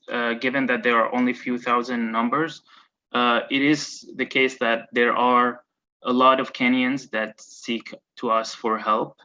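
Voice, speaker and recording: A man speaking at an online panel about migrant workers, talking haltingly with many fillers and restarts. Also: mid-range voice, bright, forceful tone, dull audio. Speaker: migrant rights advocate. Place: in Bahrain.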